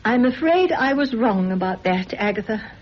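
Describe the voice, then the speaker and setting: Meek, gentle spinster. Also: female voice, a little high, animated, normal speed. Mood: resigned. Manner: gentle, apologetic, hesitant. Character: meek, self-effacing spinster teacher. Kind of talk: radio drama acting. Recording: dull old radio recording.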